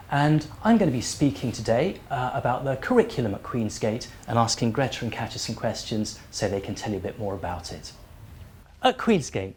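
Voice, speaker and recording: A man's voice: medium pitch, slightly rough. Friendly male teacher, chatty interviewer. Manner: friendly, conversational, with frequent "uh" fillers. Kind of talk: school promo interview.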